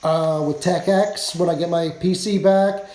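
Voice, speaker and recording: A man chats his way through a live stream, rambling and laughing between topics. His voice sits high and has gone hoarse. The room around him is echoey. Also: fairly even intonation, measured pace, dark tone. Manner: rambling, chatty, laughs often. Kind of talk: casual live stream chat.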